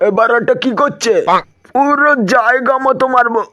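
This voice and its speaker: Man voicing a high-pitched, foul-mouthed cartoon guy. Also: steady tone, moderate pace, no room sound, muffled recording. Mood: crude, aggressive. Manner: foul-mouthed, exaggerated comic delivery. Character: crude, excitable cartoon men, swearing and bickering. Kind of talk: comic cartoon skit dubbing. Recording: loud music behind the voice. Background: music.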